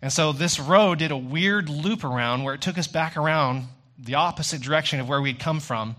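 A man's voice: on the high side, moderate pace, sharp tone. A man preaching a sermon in a conversational storytelling way, speaking directly to his listeners.

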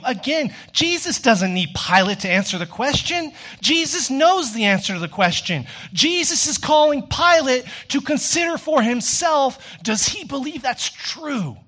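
A man preaching an expository sermon in an emphatic, deliberate manner, with repeated phrases and rhetorical questions. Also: a little high, fairly bright tone.

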